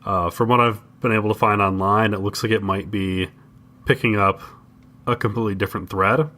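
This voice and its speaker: Male podcast co-host, low but bright, forward voice, measured and halting, conversational. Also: fairly even intonation. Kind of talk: casual comics discussion.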